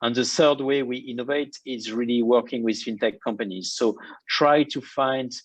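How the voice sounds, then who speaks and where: A man's voice: mid-range voice, steady tone, husky, no room sound. French guy explaining his work step by step on a video call, dry close sound. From France. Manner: measured, explanatory, lists points in order. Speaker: bank innovation executive, interview guest. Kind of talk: conference interview answers. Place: home office or room in London.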